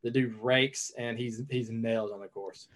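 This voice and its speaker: A man's voice: medium pitch, fairly even intonation, a little slow, a bit bright. Laid-back young Southern guy. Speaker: podcast guest, pro pitcher. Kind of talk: casual podcast chat.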